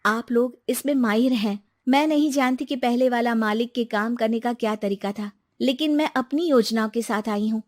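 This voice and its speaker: A woman's voice: a little high, normal speed, hardly any pauses, darkish tone, natural voice. A woman voicing a determined, earnest young businesswoman. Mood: resolute, pleading.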